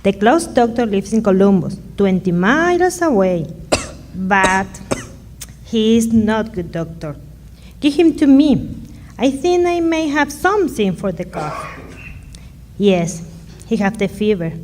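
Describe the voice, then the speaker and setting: A woman playing a humble, generous Mexican mother in a radio drama, speaking halting Spanish-accented English sprinkled with Spanish words. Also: mid-range voice, very animated, darkish tone, slight background noise. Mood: concerned, hospitable.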